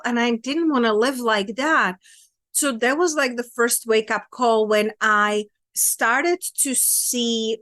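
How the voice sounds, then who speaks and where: Czech accent woman, kinda high voice, earnest podcast guest talking about her life, dry audio. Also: fairly bright tone, dry recording. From Czech Republic. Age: 30s. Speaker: podcast guest, women's empowerment coach. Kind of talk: personal storytelling in an interview.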